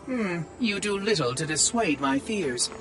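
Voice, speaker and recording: Sardonic machine caretaker, high and bouncy, fussy and wry, game cutscene with music under. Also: male voice, lively intonation, unhurried, low-quality recording. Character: chatty, sardonic male AI monitor. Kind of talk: video game cutscene acting.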